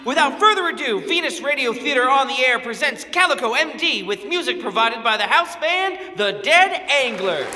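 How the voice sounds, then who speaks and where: A man's voice: extremely high pitch, animated, normal speed, hardly any pauses, heavily acted. A man playing an earnest, embarrassed young co-presenter with a pleading, apologetic manner. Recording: echoey hall, loud crowd and noise.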